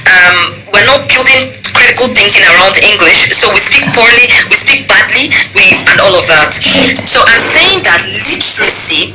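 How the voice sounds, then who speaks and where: A woman's voice: mid-range voice, lively intonation, normal speed, low-quality recording. Nigerian-accented woman, English teacher, emphatic interview talk, music playing behind. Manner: emphatic, checks in with the listener often. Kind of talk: earnest interview discussion.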